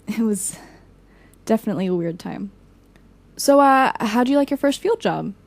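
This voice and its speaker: A woman's voice: fairly high pitch, animated, moderate pace, vocal fry, dry recording. An actress playing a weary, frank young woman, a supportive friend, in casual audio drama dialogue. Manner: casual, candid.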